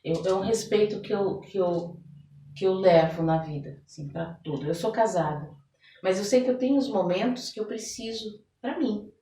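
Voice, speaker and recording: A woman's voice: medium pitch, moderate pace, warm tone. A Brazilian woman with a São Paulo accent, speaking reflectively as a podcast guest and repeating words for emphasis in a conversational interview. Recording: roomy echo, distant microphone.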